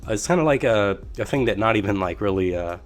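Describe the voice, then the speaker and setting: Casting note: male podcast host, low pitch, relaxed and encouraging, casual slang. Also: fast talker. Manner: laid-back, affirming, drops in slangy asides.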